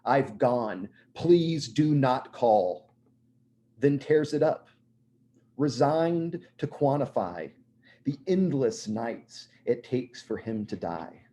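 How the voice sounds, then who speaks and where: A hoarse man in his thirties reading his poetry aloud, his voice dark and mellow at a middling pitch. He recites with measured care, then drifts into loose, rambling asides, clearing his throat now and then. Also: expressive, a little hiss or hum. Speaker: poet reading his own work.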